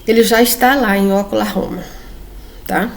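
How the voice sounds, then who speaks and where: Chatty woman with a gravelly voice. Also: mid-range voice, moderate pace. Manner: chatty, addresses viewers, frequent tag questions. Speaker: book reviewer. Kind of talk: casual presentation reading comic excerpts aloud.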